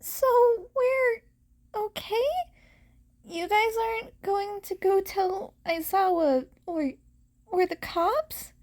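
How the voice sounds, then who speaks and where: A woman voicing a nervous, soft-hearted teenage boy vigilante who speaks in an earnest, halting way in a story reading. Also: extremely high pitch, voice pushed up. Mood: anxious.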